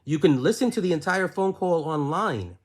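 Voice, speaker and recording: A man's voice: a little high, animated, moderate pace. A man in a heated phone argument, insistently repeating himself and pressing question after question. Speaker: caller questioning his aunt.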